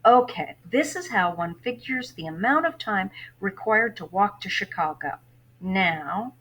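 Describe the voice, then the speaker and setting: A kindly female librarian played by a woman reading a storybook explains things to a child in a formal, precise way. She is matter-of-fact and helpful, with an everyday mid-pitched voice. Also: animated, unhurried, a bit bright, breathy edge. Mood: helpful, apologetic. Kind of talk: children's novel read-aloud.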